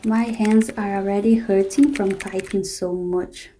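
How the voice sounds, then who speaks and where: A woman's voice: medium pitch, a little slow, a little hiss or hum, natural voice. A woman voicing a weary, grumbling office woman. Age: young adult. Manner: complaining, weary, flat. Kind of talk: scripted inner-monologue narration.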